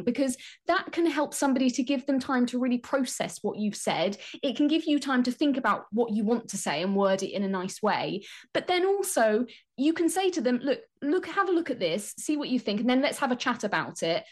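A woman giving advice as a talk show guest, animated and conversational, acting out examples and posing rhetorical questions. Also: fairly high pitch. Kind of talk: conversational radio discussion.

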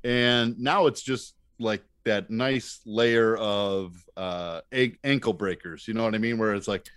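A man's voice: lowish voice, a little slow, a bit bright, clean tone, no room sound. Easygoing man in a dry, close recording. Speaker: podcast guest telling stories. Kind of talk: casual anecdotal chat.

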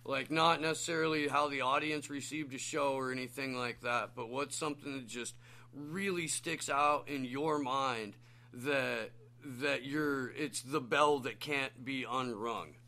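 A man's voice: mid-range voice, steady tone, measured pace, pushed and bright. A man interviewing a guest, casual and agreeable, swearing and hesitating with fillers. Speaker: podcast interviewer.

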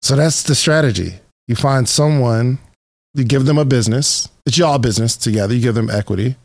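A man's voice: medium pitch, brisk, no echo, clean studio sound. A man interviewing casually on a podcast, informal and attentive, asking questions and summing up what he hears.

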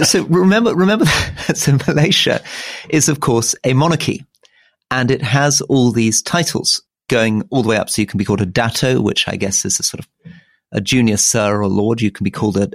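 A man's voice: a little fast, gravelly, no room sound, clean studio sound. A man in his sixties, co-hosting a podcast and talking through political history in a thoughtful, digressive way. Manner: thoughtful, digressive, rises in pitch when animated. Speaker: podcast co-host. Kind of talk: political discussion.

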